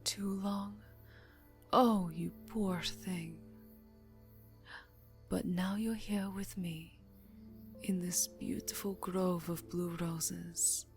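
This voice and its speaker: A woman playing a seductive, predatory yet tender vampire countess in a whispery ASMR roleplay, breathy, intimate and coaxing. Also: fairly low pitch, steady tone, very slow, pauses often, exaggerated voice. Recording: soft music behind the voice.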